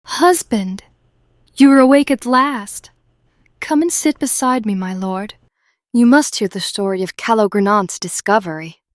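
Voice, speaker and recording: A woman's voice: medium pitch, unhurried, natural voice. A woman playing a commanding, regal queen in an audio drama, with stately, formal delivery. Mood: tender.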